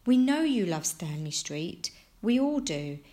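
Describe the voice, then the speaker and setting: A woman's voice: fairly low pitch, very animated, unhurried, mellow. Woman reading as a firm, serious dad. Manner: emphatic, firm. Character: firm, serious father of a young boy. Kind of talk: children's storybook character voice.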